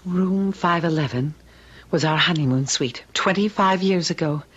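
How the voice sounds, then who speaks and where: An actress playing an anxious, determined middle-aged wife, earnest and halting, in an old-time radio drama. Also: female voice, on the low side, husky. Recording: dull lo-fi radio drama recording.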